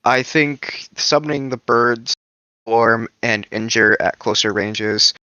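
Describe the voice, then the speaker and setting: A man with a bright, forceful voice at average pitch argues casually in a group chat, swearing freely. The sound is dry and a touch muffled. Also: slow pace, smooth, no echo. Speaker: panelist in a group discussion.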